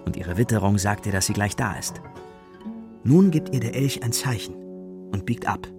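A man's voice: on the low side, animated, moderate pace. A man narrating a scripted children's story as the narrator of a radio play. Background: plucked guitar music.

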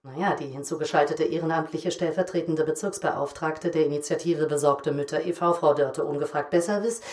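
An actress playing a polished female radio host, interviewing in a formal, measured way in a children's radio play. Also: low voice, a little mellow, far from the mic, natural voice. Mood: formal, presenting. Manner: formal, measured presenter delivery.

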